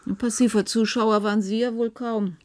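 An actress playing a sharp-minded, defiant scientist and wary wife in a German radio play, caustic and guarded. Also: female voice, on the high side, steady tone, moderate pace, lightly acted. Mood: cold, wary.

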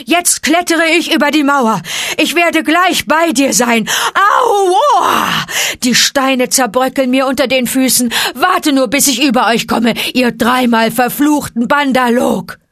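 A woman voicing a loyal old male bear, out of breath and shouting gruffly. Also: high-pitched, unhurried, dry recording, studio quality, voice pushed up. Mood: panting fury.

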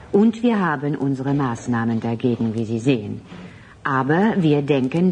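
Low, slow, commanding transhuman leader. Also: female voice, darkish tone, a little hiss or hum, full character voice. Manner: deliberate, formal, clipped non-colloquial phrasing. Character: composed, commanding female transhuman leader, coolly formal. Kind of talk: radio drama acting.

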